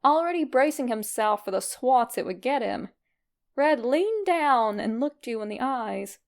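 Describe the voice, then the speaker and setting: Higher-pitched woman narrating a story. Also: expressive, unhurried. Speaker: fan fiction narrator. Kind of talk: expressive scripted story narration.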